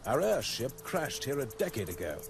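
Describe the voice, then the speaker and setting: An actor playing a pompous, eager scientist and expedition officer in a video game, speaking formally and theatrically. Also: male voice, medium pitch, huge pitch swings, moderate pace, creaky, faint music behind. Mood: proud, eager.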